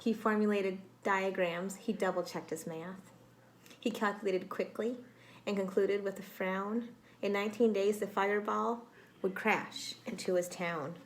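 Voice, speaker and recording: A woman with a medium-pitched voice reads a story aloud to children. She is expressive and theatrical, stopping to put questions to her young listeners. There is some noise behind her. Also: measured pace. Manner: expressive, dramatic, with exclamations and prompting questions. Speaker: teacher reading aloud to children.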